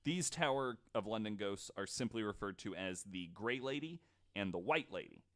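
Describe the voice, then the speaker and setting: A man's voice: fairly low pitch, sing-song, normal speed, a bit bright. A man hosting a podcast, telling stories in a casual way and slipping in wry, jokey asides. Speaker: podcast host. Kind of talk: casual storytelling with jokes.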